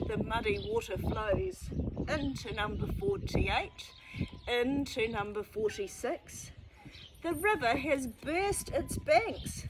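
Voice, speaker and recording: A woman reading a children's story aloud expressively, with deliberate, dramatic phrasing. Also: on the high side, background noise. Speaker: storybook reader.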